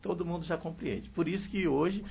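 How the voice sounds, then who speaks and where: Gravelly-voiced man explaining. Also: on the high side, a little fast. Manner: explanatory, steady, frequent "né". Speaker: sign language teacher and interpreter. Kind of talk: radio interview answers.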